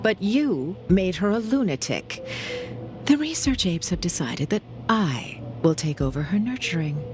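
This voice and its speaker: A woman's voice: lowish voice, big pitch swings, unhurried. An actress playing a cold, fanatical female research scientist in a video game, speaking in a theatrical, condescending, oratorical way. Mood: cool, smug. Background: game music.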